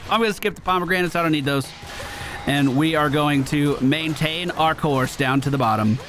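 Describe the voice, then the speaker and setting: Higher-voiced man commentating over gameplay. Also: moderate pace, fairly bright tone. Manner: chatty, thinking aloud. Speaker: gaming streamer. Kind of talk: casual live gameplay commentary. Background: game music.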